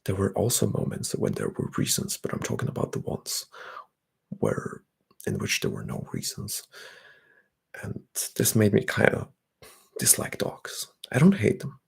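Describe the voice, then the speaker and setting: A young man, chatting softly and often whispering on an ASMR livestream while reading out comments. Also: lowish voice, wildly swooping pitch, a little slow, gravelly, breathy edge. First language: Bulgarian. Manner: soft-spoken, drifting into whispers. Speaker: ASMR streamer.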